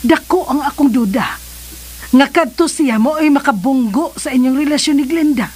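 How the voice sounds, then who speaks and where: A woman's voice: sits high, moderate pace, a little mellow, natural voice. A woman playing a protective, suspicious mother of a grown son in a Philippine radio drama, scolding and motherly, with a Filipino Cebuano accent. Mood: wary.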